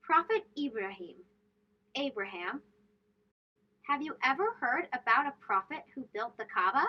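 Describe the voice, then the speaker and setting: A woman's voice: high voice, lively intonation, normal speed, breathy edge, muffled recording. A woman hosting a children's read-aloud, telling storybook tales emphatically and putting questions to young listeners.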